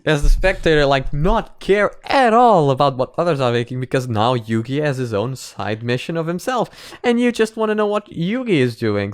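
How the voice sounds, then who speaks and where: A man's voice: fairly high pitch, clear, resonant tone. A man giving casual reaction commentary and analysis, explaining his points emphatically and swearing casually. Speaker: reaction video host and commentator.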